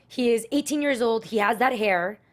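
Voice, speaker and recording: A woman's voice: fairly high pitch, moderate pace. A woman hosting a casual talk show, chatty and rambling as she leads each segment. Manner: chatty, rambling, many fillers. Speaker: host. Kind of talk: casual chat, leading the segments.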